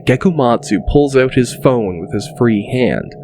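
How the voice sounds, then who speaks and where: A man narrating a scripted audiobook dramatically, in a deliberate, descriptive way. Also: medium pitch, animated, a little mellow. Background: music.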